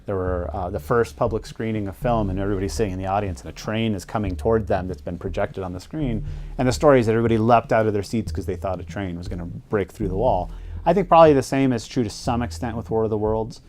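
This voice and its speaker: A man with a fairly low, mellow voice, explaining things reflectively as an interviewed expert. There is faint noise in the background. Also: fast talker. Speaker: expert commentator.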